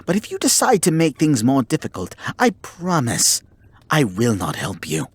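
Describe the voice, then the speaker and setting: A man playing a haughty, grudgingly caring male kitsune in an ASMR roleplay, speaking formally and theatrically with a breathy, husky voice. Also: medium pitch, huge pitch swings, moderate pace, no room sound. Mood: flustered, irritated. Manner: formal, theatrical, exasperated scolding. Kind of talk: ASMR roleplay acting, addressing the listener.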